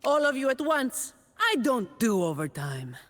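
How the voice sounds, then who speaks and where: A woman's voice: fairly high pitch, very animated, measured pace, big-room sound. A woman voicing a dutiful, weary young woman guardian in a video game, dry and composed with a confident edge. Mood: taunting, fierce. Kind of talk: video game character voice lines.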